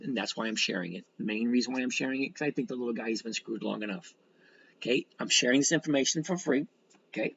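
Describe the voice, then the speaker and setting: A man's voice: medium pitch, creaky, a bit bright, highs cut off, slight background noise. A man of sixty chatting casually as a livestream host, reading out and answering comments in a blunt, folksy, rambling way with some mild swearing. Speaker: livestream host and stock trader.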